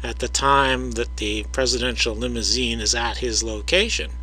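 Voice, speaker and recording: A man narrating his own video, explaining his audio analysis in a measured way, with frequent hesitations and direct words to the viewer. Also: mid-range voice, sharp tone, a little hiss or hum, rough recording.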